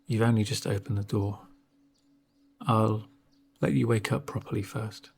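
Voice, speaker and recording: A man speaking softly in an ASMR roleplay as a doctor, with a gentle, reassuring, unhurried manner and a breathy voice. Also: lowish voice, steady tone. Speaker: ASMR performer playing a doctor. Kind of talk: soft-spoken ASMR roleplay.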